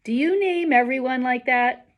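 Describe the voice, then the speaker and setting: A woman's voice: high-pitched, moderate pace, pitched above the performer's own voice, lightly acted. A woman voicing a bossy, indignant school girl with a blunt, demanding manner in a children's book.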